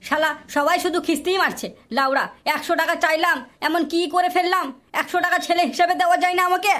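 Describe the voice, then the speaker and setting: A performer voicing a whiny, foul-mouthed young son in a comedy cartoon, with an artificially pitched-up voice. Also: flat delivery, quick pace, bright, forceful tone. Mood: bitter, angry. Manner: whiny, quarrelsome, swearing. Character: aggrieved, foul-mouthed young son pestering parents for money. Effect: artificially pitched-up cartoon voice filter.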